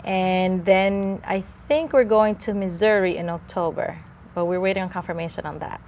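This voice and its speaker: An actress guest from the Dominican Republic speaks in a mid-pitched voice. Her casual talk is enthusiastic and a little rambling. She sounds relaxed on a talk show set. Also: normal speed, slight background noise. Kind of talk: casual interview chat.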